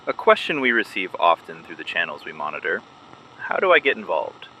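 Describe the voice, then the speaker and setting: A man playing a guarded, methodical resistance broadcaster in a scripted dystopian radio drama, giving clipped, deliberate instructions. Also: low-pitched, sing-song, sharp tone, slight background noise, heavily acted, poor audio. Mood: firm, instructive.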